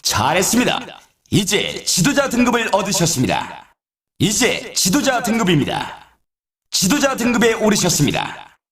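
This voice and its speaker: High, swooping snowboard game announcer. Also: male voice, huge pitch swings, normal speed, a bit bright, heavily acted. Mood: congratulatory. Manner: polite, dramatic broadcast delivery. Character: excitable male snowboard race announcer. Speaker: sports announcer in a snowboard racing video game. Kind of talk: scripted sports game commentary. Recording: roomy echo, distant from mic.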